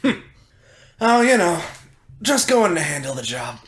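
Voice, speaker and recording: A woman's voice: lowish voice, very animated, measured pace. A woman roleplaying casually as a tabletop game player, with a laid-back, slightly hesitant way of talking.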